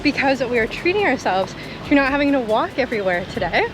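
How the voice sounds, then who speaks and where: A woman's voice: sits high, big pitch swings, quick pace, over music. A woman chatting casually and informally to camera as a travel vlogger. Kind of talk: casual vlog chat to camera. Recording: noisy travel recording with traffic and ambience.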